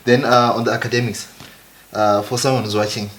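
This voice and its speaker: A man, hosting an interview and asking quick, encouraging questions. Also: mid-range voice, flat delivery, fairly bright tone. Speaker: interviewer, host. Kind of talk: casual interview. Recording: faint background music. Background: music.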